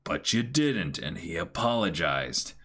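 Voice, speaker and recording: A man doing a low, gruff pirate captain. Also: very animated, measured pace, a bit bright, exaggerated voice. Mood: scolding. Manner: stern, blunt.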